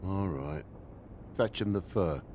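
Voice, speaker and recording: Whiny British toad, swooping pitch. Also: male voice, expressive, takes it slowly, warm tone, slight background noise. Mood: sullen, defensive. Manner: whiny, indignant, slangy. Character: aggrieved middle-aged male toad, a grumbling shifty dad. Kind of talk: dramatic video game dialogue.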